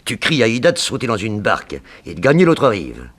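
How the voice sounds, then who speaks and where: Crafty gangster, very deep, animated intonation, deliberate, insinuating, 1960s radio thriller. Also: male voice, exaggerated voice. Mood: scheming, gloating. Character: scheming, vengeful gangster posing as an informant. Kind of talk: 1960s French radio crime drama acting.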